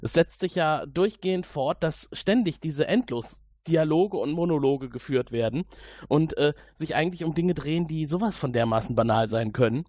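A man's voice: on the high side, moderate pace, darkish tone, dry recording, studio quality. A man chatting casually as a podcast host.

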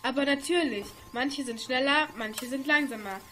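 High-voiced young woman acting a helpful guide. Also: normal speed, a bit bright, a little hiss or hum. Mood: explaining. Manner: explanatory, matter-of-fact. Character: helpful girl from a future world explaining vehicles. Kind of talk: amateur youth radio play.

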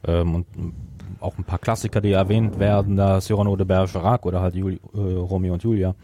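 A man's voice: low-pitched, level tone, brisk, dark tone, a little hiss or hum. A man co-hosting a podcast, giving his opinion in a casual review discussion. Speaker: podcast co-host.

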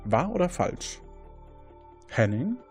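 A man's voice: a little high, sing-song, slow pace, creaky, a little mellow, soft background music. A man hosting an audio quiz game, presenting statements and questions to contestants. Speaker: quiz show host.

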